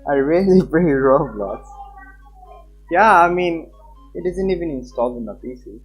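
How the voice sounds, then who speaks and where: A man's voice: on the high side, expressive, normal speed, slightly rough. Dull-sounding young male streamer, casual. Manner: chatty, loose, repeats himself. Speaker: livestreamer, host. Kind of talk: casual livestream chat while reading visual novel text aloud. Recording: dull lo-fi mic with background music. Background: game background music.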